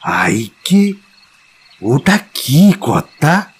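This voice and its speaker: Slow, swooping, humble rustic porter. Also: male voice, high voice, big pitch swings, vocal fry, slight background noise, full character voice. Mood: awed. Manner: slow, drawn-out, deferential speech. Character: humble, rustic male porter, deferential and awed. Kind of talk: audio story character acting.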